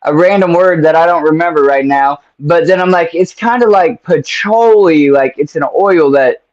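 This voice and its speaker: A man hosts a casual call-in interview in a relaxed, rambling, slang-heavy way. His voice sits a little high. The audio is dull and lo-fi. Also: normal speed. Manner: laid-back, slangy, rambling. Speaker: interview host. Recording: dull lo-fi sound.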